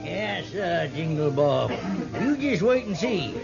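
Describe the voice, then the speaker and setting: An actor playing a boastful, drunken old frontiersman with a rural American drawl and gravelly voice in a 1950s radio western. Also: high-pitched, very animated, heavily acted. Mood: drunken boasting. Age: older. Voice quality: creaky, gravelly. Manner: slow, rustic, boastful drawl. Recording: dull vintage radio recording with music.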